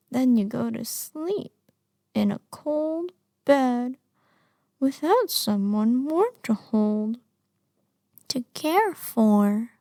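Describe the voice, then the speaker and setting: An obsessive, manipulative young woman in love, acted by a woman for an intimate audio roleplay. Her voice is fairly high yet mellow, and she takes her time, drifting into breathy whispers. The sound is dry and close, as if she is speaking only to the listener. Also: no echo, exaggerated voice. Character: lovesick, obsessive, manipulative young woman under arrest, a yandere.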